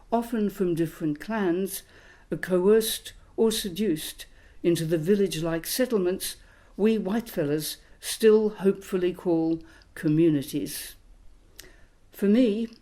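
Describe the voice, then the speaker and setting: A woman in her eighties, a historian, reading a reflective talk in a measured, literary way. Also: on the low side, dark tone. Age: 80s. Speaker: historian giving a recorded talk. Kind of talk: reflective scripted lecture.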